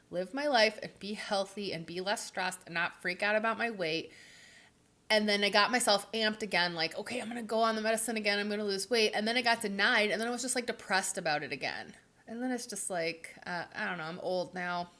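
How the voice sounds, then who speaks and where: A woman's voice: medium pitch, fairly bright tone. A woman giving a rambling, casual vlog update, her voice stuffy from a cold and full of fillers. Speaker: vlogger.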